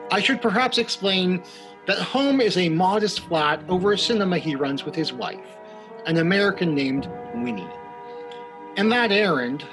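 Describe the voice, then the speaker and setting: Sly radio mystery narrator, theatrical and droll, animated range, faint music underneath. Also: male voice, high-pitched. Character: sly, ominous host of a 1940s suspense radio show. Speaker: narrator and announcer of a radio play. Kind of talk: old-time radio suspense narration.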